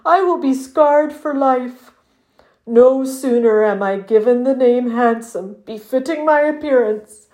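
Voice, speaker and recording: Lady doing big sad muskox guy from a kids book, super slow n whiny, anxious, kinda vain, croaky. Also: fairly high pitch, a little mellow, voice pushed up. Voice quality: croaking with misery. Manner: whiny, drawn-out, melodramatic lamenting. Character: miserable, vain, anxious large male muskox.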